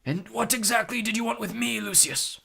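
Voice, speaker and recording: A man voicing a calm, steely old headmaster in a storybook, speaking politely, clearly and deliberately. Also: high voice, pitched above the performer's own voice, heavily acted.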